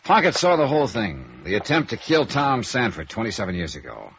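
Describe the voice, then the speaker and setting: A man's voice: fairly low pitch, wildly swooping pitch, fairly quick, fairly bright tone, heavily acted. An American man acting a tough, probing private investigator in a 1950s radio noir, narrating and questioning in a clipped, sardonic way. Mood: brisk, intrigued. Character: tough, probing male freelance insurance investigator who narrates. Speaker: lead actor in a radio detective series. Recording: dull, noisy old radio recording.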